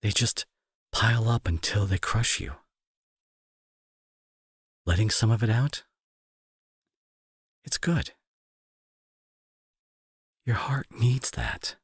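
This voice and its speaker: Husky low-voiced remorseful young bully, acted by a man. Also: slow pace, long pauses, dry recording, clean studio sound, full character voice. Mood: tender, reassuring. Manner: soft, hesitant, earnest, reassuring. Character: remorseful young male bully turned tender, anxious caretaker. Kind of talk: intimate roleplay audio drama.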